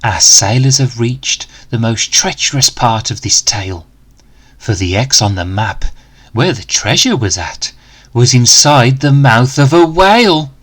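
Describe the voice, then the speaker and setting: A man telling a children's story in rhyme. He takes it slowly and deliberately, with very animated swings in pitch and a dramatic, expressive delivery. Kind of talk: rhyming picture-book read-aloud.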